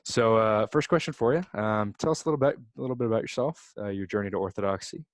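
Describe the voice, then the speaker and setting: Brisk, upbeat male interviewer. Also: lowish voice, no echo, clean studio sound. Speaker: interviewer, host. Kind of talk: casual interview.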